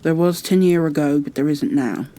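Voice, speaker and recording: A woman's voice: low-pitched, moderate pace, dark tone, natural voice. Woman voicing a surly old Yorkshire gardener. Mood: grumbling. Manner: gruff, blunt dialect speech. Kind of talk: storybook character reading.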